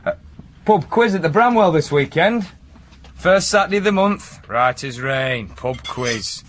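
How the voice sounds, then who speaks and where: A domineering Yorkshire working man in a radio drama, blunt and matter-of-fact. His voice sits a little high, with faint ambience behind it. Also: expressive, measured pace, fairly bright tone, a little hiss or hum. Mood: chatty, bossy. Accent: Northern English, Yorkshire. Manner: matter-of-fact, bossy, plain-spoken. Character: blunt, domineering working-class drain-cleaner boyfriend.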